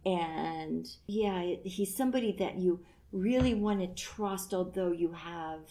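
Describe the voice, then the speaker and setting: A middle-aged or older woman guest giving her impressions in a casual, reflective chat, with thoughtful, halting phrasing. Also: lowish voice, mellow.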